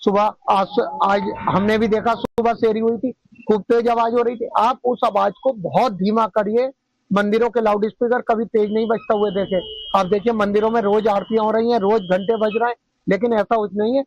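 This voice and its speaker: A man's voice: sits high, fairly even intonation, brisk, no echo. A man giving his opinion in an interview, insistent and repetitive. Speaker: street interviewee. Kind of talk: spontaneous opinion to camera. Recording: dull lo-fi dry field recording.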